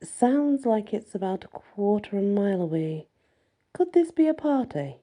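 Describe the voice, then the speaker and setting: Woman acting a husky, playful young werewolf woman. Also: medium pitch, sing-song, normal speed, warm tone, voice pushed up. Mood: playful, fond. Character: young woman werewolf, playful, earnest, smitten with her mate. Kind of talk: first-person fantasy audiobook dialogue.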